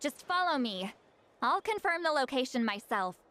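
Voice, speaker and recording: A haughty young shaman woman from an anime game. Her voice is high and very animated, grumpy and aloof on top with softness underneath. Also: moderate pace, slight background noise. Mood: haughty, brisk. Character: proud young female shaman, grumpy, aloof, secretly soft-hearted. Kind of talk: anime video game voice acting.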